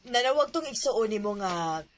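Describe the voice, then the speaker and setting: An older woman acting a caring mother and grandmother in an audio drama, gently advising her son. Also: medium pitch, expressive, moderate pace, close to an everyday voice. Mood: warm.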